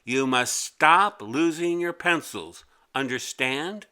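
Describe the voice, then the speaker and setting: A man's voice: a little high, lively intonation, slow pace, bright, forceful tone, clean tone, full character voice. A man voicing an exasperated, scolding male schoolteacher in a children's storybook. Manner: scolding, emphatic.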